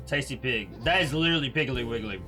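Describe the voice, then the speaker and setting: Bright-voiced, offhand male gaming streamer. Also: medium pitch, lively intonation, fast, faint music behind. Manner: blunt, offhand, slangy. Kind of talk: casual live reaction commentary.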